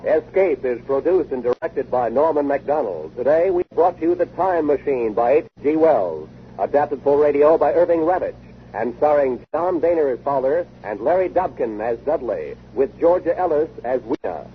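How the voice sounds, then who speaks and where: A man's voice: a little high, expressive, normal speed, pauses often. A man working as a radio announcer, reading scripted copy in a 1950s broadcast style on a muffled old recording. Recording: muffled, noisy vintage radio recording with music.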